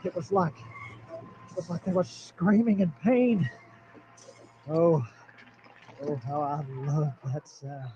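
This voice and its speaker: An actor playing a nervous, paranoid middle-aged man who stammers and breaks into shrill outbursts, in an old-time radio drama style. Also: fairly even intonation, takes it slowly, pauses often, warm tone, slight background noise. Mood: gloating. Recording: dull lo-fi recording.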